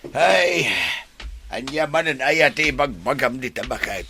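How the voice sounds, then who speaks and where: A man's voice: mid-range voice, animated, measured pace, creaky, pushed and bright, slight background noise, exaggerated voice. An actor playing a kindly middle-aged father who stands up for his daughter in an Ilocano radio drama. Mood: chiding.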